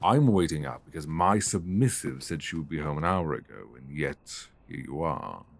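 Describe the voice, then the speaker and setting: Man acting a commanding British boyfriend, swinging pitch. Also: expressive, moderate pace, vocal fry, heavily acted. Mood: stern, controlled. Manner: commanding, emotionally intense. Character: stern, exhausted, wounded but devoted dominant boyfriend. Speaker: audio roleplay performer. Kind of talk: intimate ASMR boyfriend roleplay acting. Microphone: close binaural microphone.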